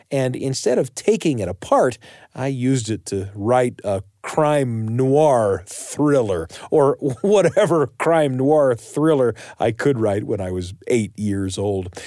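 A man's voice: medium pitch, dry recording, clean studio sound. A man hosting a podcast, speaking conversationally and reflectively, directly to his listeners. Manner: animated, addresses listeners directly. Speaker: podcast host.

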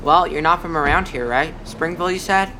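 A woman's voice: deep voice, a little fast, background noise, lightly acted. A woman acting in an audio drama as a friendly, frank twelve-year-old boy who talks in a casual, matter-of-fact way. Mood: frank, wistful.

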